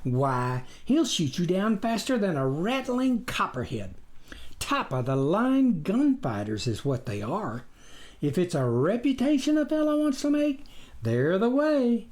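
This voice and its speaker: A man's voice: sits high, big pitch swings, unhurried, a little mellow, voice pushed up. A man voicing an eager, persuasive tempter in an audiobook, with a wheedling, needling, folksy manner. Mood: coaxing, goading. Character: Snuffer, eager, persuasive imaginary tempter partner. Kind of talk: audiobook character voice.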